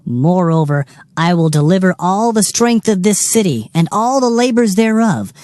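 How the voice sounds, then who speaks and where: A man's voice: high voice, sing-song, moderate pace, darkish tone, no echo, clean studio sound. A man playing a stern, anguished Hebrew prophet pronouncing doom in a solemn, declamatory dramatized scripture reading. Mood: stern denouncing. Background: background music.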